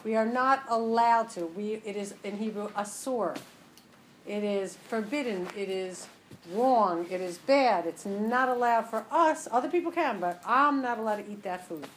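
A woman from New Jersey teaching a class to a small group, chatty and joking, then slow and emphatic as she explains. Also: a little high, a little hiss or hum. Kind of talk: relaxed class talk to a group.

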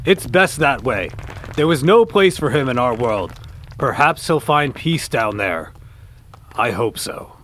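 A man's voice: mid-range voice, sing-song, measured pace, fairly bright tone, a little hiss or hum. A man playing an earnest, declamatory superhero team leader in an amateur radio play. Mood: solemn.

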